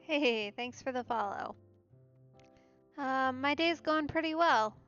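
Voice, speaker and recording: A woman's voice: high-pitched, slow, fairly bright tone, muffled recording, soft background music. A woman game streamer giving casual, musing live let's play commentary in a conversational way.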